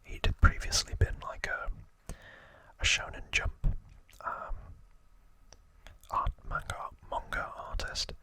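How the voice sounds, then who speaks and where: A man speaking in a breathy, gentle whisper, hosting an ASMR trivia explainer close to the microphone. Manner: slow, gentle, hushed delivery. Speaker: ASMR video creator and host.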